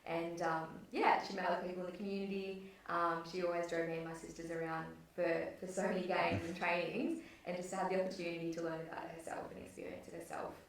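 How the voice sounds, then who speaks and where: A young woman speaks from well off the mic, her low voice gravelly with fry, and the room gives her a heavy echo. She answers in a friendly but hesitant way, filling pauses with ums. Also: flat delivery. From northern New South Wales, Australia. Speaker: guest, football program coordinator. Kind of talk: radio interview answers. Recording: echoey, distant from the mic.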